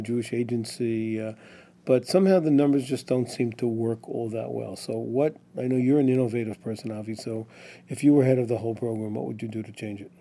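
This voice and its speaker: A man hosting an interview, asking questions in a relaxed, chatty way. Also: medium pitch, creaky. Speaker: interview host. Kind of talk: conversational interview.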